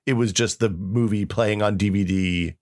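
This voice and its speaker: Middle-aged American man, lowish pitch, dry sound, informal podcast chat with slangy phrasing. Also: fairly even intonation, normal speed, no room sound. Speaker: podcast co-host. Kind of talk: casual tech nostalgia chat.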